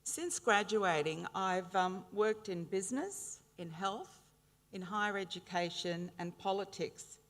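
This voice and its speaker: Middle-aged Australian woman in a hall. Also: mid-range voice. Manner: warm humorous anecdotes, measured delivery. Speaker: graduation ceremony speaker. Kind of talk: formal ceremonial speech. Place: large indoor graduation hall. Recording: reverberant ceremony hall.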